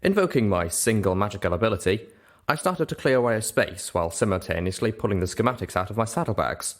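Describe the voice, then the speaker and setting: Man, low voice, audiobook narration, scripted first-person storytelling. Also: animated, quick pace.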